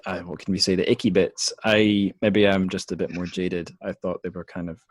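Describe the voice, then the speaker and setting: A man's voice: low-pitched, flat delivery, normal speed. A man hosting a casual book discussion podcast, thinking aloud in a hesitant, rambling way. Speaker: podcast host.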